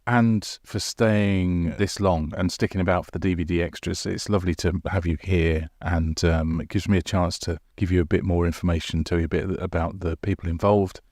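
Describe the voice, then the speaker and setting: Deep-voiced man presenting a podcast. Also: fairly even intonation, moderate pace, hardly any pauses, a little mellow, no echo, clean studio sound. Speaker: podcast host and writer. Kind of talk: podcast presenting, credits and informal asides.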